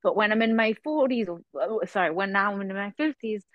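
Her voice comes through close and dry, a little muffled at the top. She is a woman in her thirties at an ordinary middle pitch. She talks casually, like a podcast host in an easy conversation. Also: animated, a little fast, dry recording. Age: 30s.

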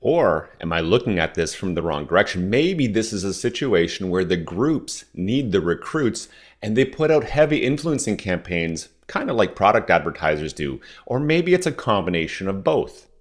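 A man in his forties with a somewhat low voice carries a solo podcast monologue. He grew up in Southern Ontario speaking French first. His delivery is measured and persuasive, often turning his points into questions. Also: sing-song. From Southern Ontario, Canada. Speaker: podcast host.

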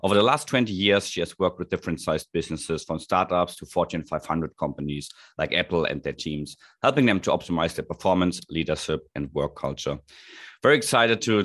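A man's voice: sits low, a little fast, a bit bright. A man from Germany with a German accent, hosting a podcast, reading a scripted intro and then asking loose questions. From Düsseldorf, Germany. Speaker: podcast host.

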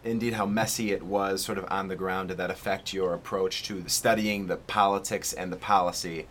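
A man's voice: deep voice, fairly even intonation, moderate pace, fairly bright tone, slight background noise. A man interviewing an author, asking long and careful questions. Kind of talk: conversational interview.

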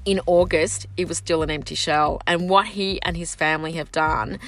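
A woman's voice: on the low side, normal speed, soft background music, low-quality recording. A middle-aged woman, a local councillor whose first language is Sicilian, talking at length in a casual podcast conversation. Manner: animated, talks in long run-on stretches.

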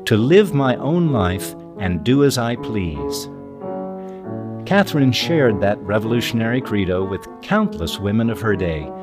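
A man hosting and reading scripted narration in a documentary style. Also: medium pitch. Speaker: host and narrator.

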